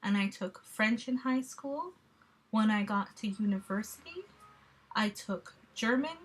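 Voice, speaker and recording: A woman's voice: medium pitch, lively intonation, breathy edge. Woman reading a class presentation. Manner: measured, explanatory, with occasional fillers. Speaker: student presenter.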